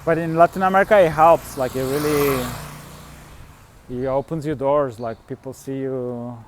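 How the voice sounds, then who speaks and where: A man from Turkey, chatting casually about his travels in a halting way, with many fillers and restarts. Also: mid-range voice, fairly even intonation, a little hiss or hum. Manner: halting, full of "you know" and "like" fillers. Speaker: touring musician and vlogger. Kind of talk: casual chat.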